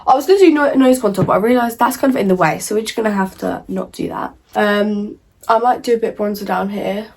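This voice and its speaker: Croaky young woman vlogging. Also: medium pitch, fairly quick, a little mellow. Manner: chatty, rambling, many fillers like "like" and "um". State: croaky from a cold. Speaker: beauty vlogger. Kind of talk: casual makeup tutorial chat. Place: at home in front of a mirror.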